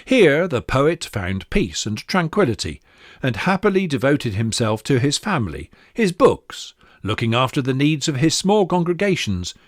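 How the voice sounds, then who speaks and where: A man's voice: medium pitch, very animated, normal speed, no room sound, clean studio sound. A man reading scripted narration as he introduces poetry.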